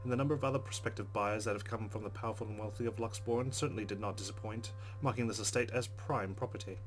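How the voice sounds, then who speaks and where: Low voiced guy doing a visual novel let's play, reading it out, game music behind him. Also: steady tone, quick pace. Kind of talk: reading visual novel text aloud with casual commentary.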